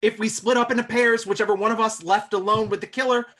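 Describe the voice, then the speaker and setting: Woman playing a slightly high-voiced worried guest. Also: steady tone, fast talker, fairly bright tone. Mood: apprehensive. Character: worried, reasoning guest in a murder mystery. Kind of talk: table read of a comedy film script.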